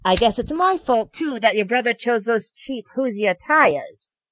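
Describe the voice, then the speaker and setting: A man's voice: very high-pitched, big pitch swings, unhurried, a little hiss or hum, pitched above the performer's own voice, exaggerated voice. A man voicing a smug, mocking racing rival in a narrated story, with a theatrical, sneering manner. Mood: mock hurt. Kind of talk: acted character in narrated fan story.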